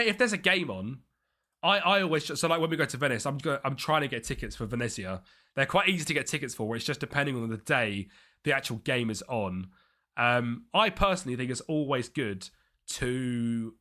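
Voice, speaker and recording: A young man with a bright, forceful voice, talking live over a game stream. He reads out chat messages and muses about tactics in a chatty, easygoing way. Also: mid-range voice. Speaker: streamer playing a football management game.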